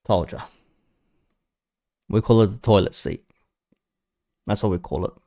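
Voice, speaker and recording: A man's voice: fairly low pitch, measured pace, gravelly, mellow. Blunt sweary man in his thirties. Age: 30s. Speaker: football streamer. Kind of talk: casual live chat.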